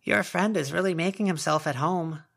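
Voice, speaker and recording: A man voicing a wary, uneasy teenage girl with a guarded, hushed manner in an audiobook. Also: fairly high pitch, normal speed, creaky, pitched above the performer's own voice. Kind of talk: audiobook character voice.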